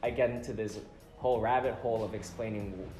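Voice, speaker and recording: A man's voice: on the low side, fairly even intonation, fairly quick, warm tone. A young man from Saipan, speaking in an easygoing way about where he comes from. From Saipan, Northern Mariana Islands. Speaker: student panelist. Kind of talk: casual personal testimony. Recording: roomy room with background music.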